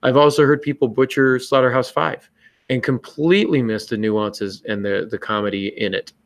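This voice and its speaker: A man's voice: mid-range voice, fairly even intonation, moderate pace, no room sound. A man co-hosting a podcast, giving casual writing advice in a joking, self-mocking manner. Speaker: podcast co-host and novelist.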